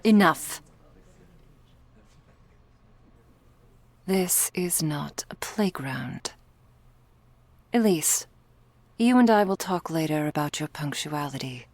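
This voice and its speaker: Woman acting slow, husky, menacing vampire boss lady. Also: deep voice, expressive, darkish tone, no echo, heavily acted. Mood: stern. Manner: slow, formal, silky and threatening. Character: menacing, imperious female vampire crime syndicate boss. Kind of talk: ASMR roleplay acting.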